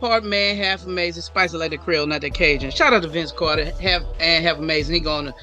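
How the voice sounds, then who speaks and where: A man's voice: fairly high pitch, quick pace, sharp tone, soft background music. A man introducing himself with a playful catchphrase as a co-host on a casual podcast chat.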